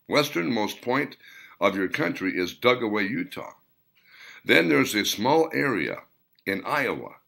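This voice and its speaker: Gravelly, low-voiced man narrating a story. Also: fairly bright tone. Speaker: author reading his own novel. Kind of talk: scripted audiobook narration.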